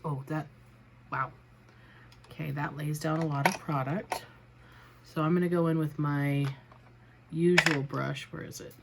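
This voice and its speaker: A woman talks casually through her makeup routine in a low-pitched voice, a little raspy as she gets over a cold. She narrates each step in a chatty, self-deprecating way. A faint noise sits under the recording. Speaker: beauty vlogger. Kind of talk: casual makeup tutorial chat.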